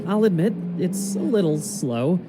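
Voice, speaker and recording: A performer playing an earnest, gentle, optimistic young male salesman in a video game. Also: mid-range voice, sing-song, measured pace, over loud music. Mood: hopeful. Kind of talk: video game character acting.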